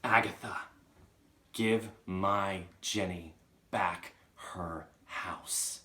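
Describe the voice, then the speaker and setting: A man voicing a menacing male ghost with slow, deliberate, drawn-out commands in a storybook reading. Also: deep voice, steady tone, pushed and bright. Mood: menacing, commanding.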